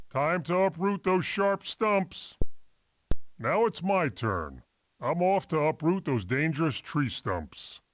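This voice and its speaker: Excitable goofy animal guy, high voice, takes his time, cartoon game, bit noisy. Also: heavily acted.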